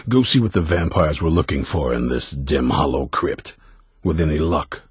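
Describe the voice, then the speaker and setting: An actor playing a stern, suspicious vampire-hunter commander in a fantasy video game, blunt and commanding, with a gruff gravelly voice. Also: male voice, expressive, moderate pace, no room sound, studio quality, heavily acted. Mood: gruff, grudging. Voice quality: very deep, gravelly, raspy. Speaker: leader of a vampire-hunting order.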